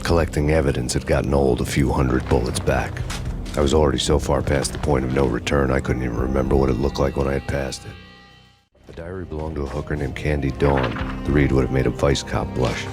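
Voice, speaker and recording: An actor narrating as a hardboiled noir cop in a video game, deadpan and gravelly. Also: male voice, extremely low pitch, fairly quick, over music.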